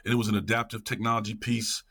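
A husky, bright-edged man's voice, middle-aged, speaks with real force in a casual interview. He leans on his points by repeating phrases, emphatic and passionate, in a fairly low register. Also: level tone, fast talker. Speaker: podcast guest, educator.

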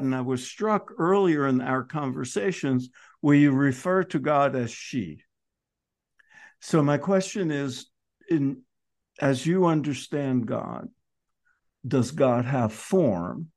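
Male interviewer, really slow and deliberate, stops between little phrases, thoughtful questions. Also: mid-range voice, a little mellow. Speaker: interview host.

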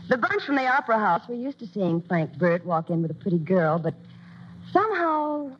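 A woman's voice: mid-range voice, sing-song, moderate pace, slight background noise, natural voice. An actress in a 1940s radio drama playing an eager, modest young vaudeville performer who also tells her story fondly. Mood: fond storytelling. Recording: muffled 1940s radio recording with music.

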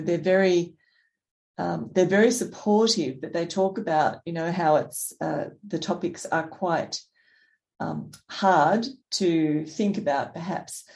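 A woman's voice: lowish voice, slow pace, darkish tone. A woman interviewer asking hesitant questions over a video call, with fillers.

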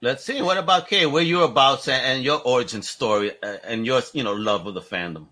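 A man hosting a casual comics interview, reacting with quick remarks and frequent laughter. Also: mid-range voice, bright, forceful tone. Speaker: podcast host.

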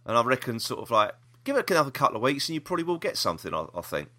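A man's voice: mid-range voice, very animated, racing, sharp tone. A British man hosting a podcast, chatting casually about the news in a rambling, opinionated way. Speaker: podcast host.

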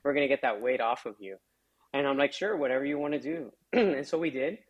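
A man's voice: mid-range voice, a little fast, highs cut off. A middle-aged man from South Florida, a physician associate, answering interview questions in a conversational way, full of fillers like "um" and "you know". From South Florida, United States. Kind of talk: interview answers, life story.

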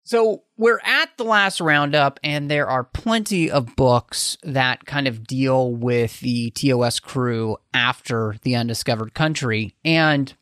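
A man hosts a casual podcast discussion with a mid-pitched voice. He talks informally and playfully, like someone among friends. The sound is dry, with very little room in it. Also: takes it slowly, hardly any pauses, fairly bright tone, dry recording. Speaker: podcast host.

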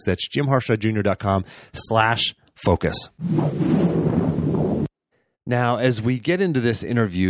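A man in his thirties hosts a podcast with a somewhat low voice. He delivers upbeat promos and an enthusiastic intro. The sound is dry and close, with no room echo. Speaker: podcast host.

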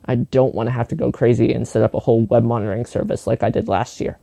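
A man's voice: mid-range voice, fairly even intonation, fast talker, gravelly, warm tone, dry recording. A man giving a humorous scripted tech report, sarcastic and exasperated in manner. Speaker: tech news contributor. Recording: music and sound effects underneath.

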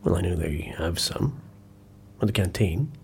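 An actor playing a blunt, dry office colleague with a husky, rough voice, in a naturalistic audio drama. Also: male voice, deep voice, animated, unhurried, a little mellow, slight background noise, exaggerated voice. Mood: grumbling. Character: dry, grumbling office colleague telling anecdotes.

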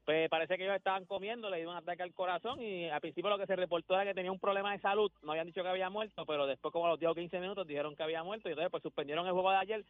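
A man's voice: high-pitched, very fast, hardly any pauses, phone-quality sound. A man with a Puerto Rican accent talking sports casually on the radio, slangy and repeating phrases for emphasis. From Puerto Rico.

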